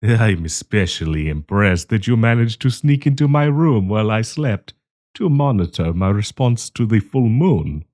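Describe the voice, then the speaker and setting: A man acting a deep, courtly, sinister old professor. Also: sing-song, darkish tone. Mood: sly, menacing. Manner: courtly, teasing, chuckling, deliberate. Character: kindly old male professor hiding a predatory, possessive menace. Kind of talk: intimate audio roleplay acting.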